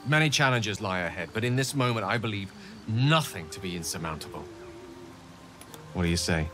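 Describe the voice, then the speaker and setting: An actor voicing an eloquent, theatrical human wizard in a video game, speaking in articulate, wordy, confessional dialogue. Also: male voice, on the low side, fairly bright tone. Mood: relieved, grateful.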